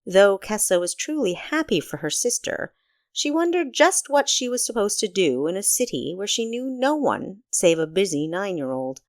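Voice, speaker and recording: Expressive woman narrating fiction, lively pitch. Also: normal speed, no room sound, clean studio sound. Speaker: author narrating own audiobook.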